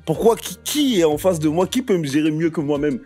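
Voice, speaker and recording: A man's voice: fairly high pitch, a little fast, husky, faint music behind. A young man from the Ivory Coast, a stand-up comedian chatting as a podcast guest, telling stories with slang and frequent laughter. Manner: laughs often, lively storytelling, street slang. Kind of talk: casual podcast chat.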